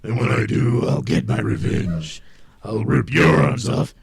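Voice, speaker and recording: A man playing a gruff, crude villain in a comic superhero serial, speaking with a raspy, gravelly, menacing delivery. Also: on the low side, sing-song, a crowd in the background, exaggerated voice. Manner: slow, menacing, threatening.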